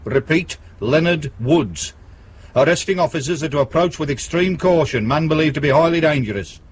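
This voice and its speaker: An actor playing a gruff, combative British police detective sergeant in a radio drama, curt and sweary. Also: male voice, on the high side, animated, normal speed. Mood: urgent, commanding.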